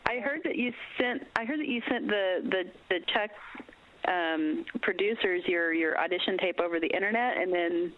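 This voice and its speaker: A woman's voice: lowish voice, no room sound. An American woman hosting a radio interview, upbeat and encouraging, asking friendly questions. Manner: upbeat, encouraging, quick to laugh. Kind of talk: casual interview. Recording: tinny phone-like radio line with faint hiss.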